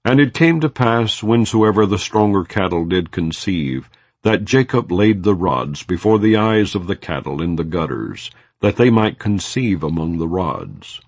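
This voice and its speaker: Deep-voiced man reading scripture. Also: expressive, a little slow, no room sound. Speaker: Bible reader.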